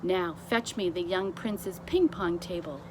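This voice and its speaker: A woman's voice: fairly low pitch, measured pace, natural voice. A woman voicing a composed, commanding male royal butler in a children's storybook, giving formal, precise orders. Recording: clearly noisy amateur recording.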